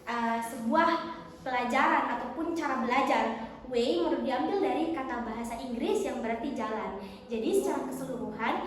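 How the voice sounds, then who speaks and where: A woman's voice: high voice, normal speed. A young woman from Indonesia giving explanatory answers as an interview guest. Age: teens or young adult. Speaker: podcast guest, student book co-author. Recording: very echoey, reverberant hall.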